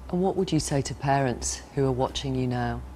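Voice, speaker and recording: A man asking questions as an interviewer, in a measured, sympathetic news style. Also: medium pitch, warm tone, slight background noise.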